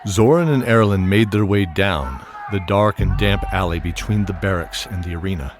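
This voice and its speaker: A man narrating a fantasy audio drama in a steady, descriptive manner. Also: sits low, normal speed, music in the background. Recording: loud score behind.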